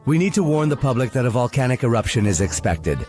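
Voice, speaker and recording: A man narrating a scripted story for young children, expressive and full of questions to the listener. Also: medium pitch, fast. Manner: expressive, asks the viewer questions. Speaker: narrator of a children's toy video. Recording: music behind the voice. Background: music.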